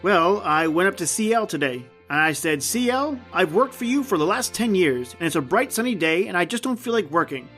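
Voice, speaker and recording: A man's voice: sits high, sing-song, brisk, hardly any pauses, fairly bright tone, voice pushed up. A man playing a tormented, haunted husband over music. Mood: cheerful, casual. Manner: dramatic, brooding, anguished. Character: tormented lost husband, haunted and despairing, recounting his doom. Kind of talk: old-time radio horror monologue.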